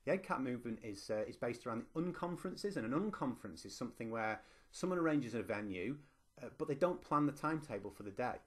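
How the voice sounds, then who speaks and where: A man's voice: medium pitch, animated, quick pace. A middle-aged man from northwest England with a Lancashire accent, giving a conversational presentation to teachers, with frequent ums and restarts. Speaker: university lecturer and teacher. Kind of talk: online conference presentation.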